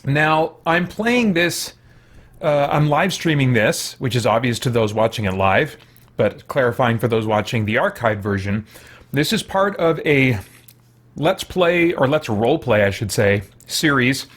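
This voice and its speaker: A man's voice: medium pitch, lively intonation, measured pace, a bit bright. An American man chatting casually as a livestream host, thinking aloud in a rambling, self-correcting way. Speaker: live streamer, gaming host.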